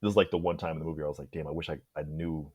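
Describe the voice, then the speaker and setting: A man's voice: a bass voice, fairly even intonation, racing, darkish tone, husky, dry recording. A man speaking as a podcast guest, discussing a film in a casual, conversational way with emphatic reactions. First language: English.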